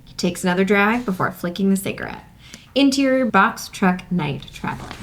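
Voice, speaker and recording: A woman speaks at a medium pitch as the host and narrator of a script table read. There is some noise in the background behind her voice. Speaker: host and stage-direction reader.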